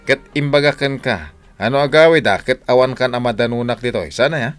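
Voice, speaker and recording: A man's voice: mid-range voice, a little fast, soft background music, close to an everyday voice. A man with an Ilocano accent, acting in a radio drama as an angry husband who later scolds as a stern father. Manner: loud, scolding, mocking laughs.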